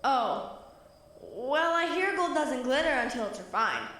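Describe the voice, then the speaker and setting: A woman's voice: sits high, sing-song, unhurried, a bit bright, slight background noise, full character voice. A young female performer acting in an audio drama as a cheeky, defiant, scrappy child troublemaker. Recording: echoey reverb.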